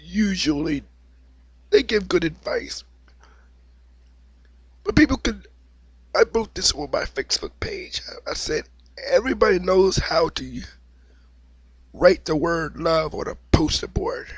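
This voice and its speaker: A man with a cold and a slightly raspy voice, giving an opinionated, repetitive monologue as the host of his own video. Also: high-pitched, animated, measured pace, pauses often, dry recording. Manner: opinionated, preachy, repeats himself. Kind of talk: unscripted monologue vlog.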